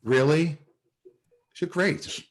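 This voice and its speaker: A man's voice: fairly high pitch, takes it slowly. A man playing a nervous, lovesick, dryly sarcastic office worker in a sitcom table read, with a hesitant, stammering delivery. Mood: exasperated. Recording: video call table read.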